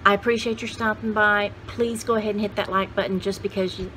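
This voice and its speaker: A woman's voice: mid-range voice, steady tone, brisk, a bit bright, rough recording. Folksy older woman, chatty, some background noise. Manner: folksy, jokey, rambling. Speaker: host of a vintage haul video channel. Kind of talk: casual chatty vlog. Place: indoor home studio room with shelves.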